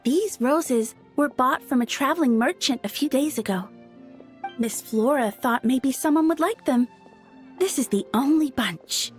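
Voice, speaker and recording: An eager young flower seller from a video game. The high voice is polite and chirpy with a touch of shyness, over light music. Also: female voice, lively intonation, unhurried. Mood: helpful, shy. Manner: chirpy, polite, slightly shy. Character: earnest, eager young flower shop assistant girl. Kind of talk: video game character acting.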